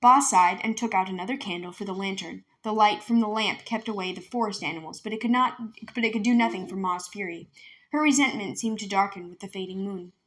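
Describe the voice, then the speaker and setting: A woman's voice: mid-range voice, a little fast, fairly bright tone. A woman reading a children's novel aloud as a storyteller, narrating from the page. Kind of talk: scripted children's book narration.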